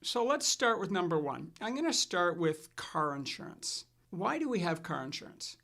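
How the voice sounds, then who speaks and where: A middle-aged man with a somewhat raised voice hosts a financial chat. He tells his stories in a relaxed way and restarts his sentences as he goes. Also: sits high, moderate pace, gravelly. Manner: relaxed storytelling with fillers and restarts. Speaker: financial advisor and show host. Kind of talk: casual scripted chat.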